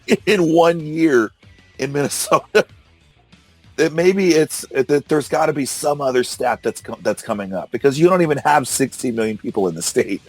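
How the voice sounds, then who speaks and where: A hoarse man hosting a casual political discussion, arguing and incredulous. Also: on the high side, moderate pace, dry recording, soft background music. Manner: argumentative, incredulous, laughs often. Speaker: podcast host. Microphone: call recorder.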